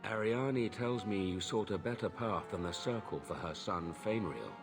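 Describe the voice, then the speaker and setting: Casting: polite, serious petitioner, lowish register, formal and careful, fantasy game with score underneath. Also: male voice, lively intonation, moderate pace, music in the background. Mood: earnest, polite. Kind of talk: video game cutscene acting.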